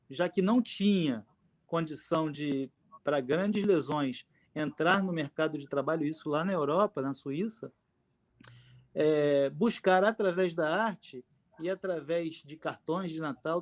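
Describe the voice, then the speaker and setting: Man, mineiro accent, middle-aged, high voice, earnest, measured, pausing fillers, video call. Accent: Brazilian Portuguese, Minas Gerais. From Minas Gerais, Brazil. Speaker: forum panelist, mouth painter. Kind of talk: panel talk at a public forum. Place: indoor room with his paintings behind him.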